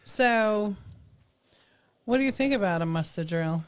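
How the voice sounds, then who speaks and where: A woman's voice: medium pitch, animated, measured pace, clear, resonant tone. A middle-aged woman co-hosting a live stream, chatting casually with short agreeing replies into a studio mic. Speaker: co-host. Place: small studio room with a purple backdrop. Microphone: studio mic on a boom arm.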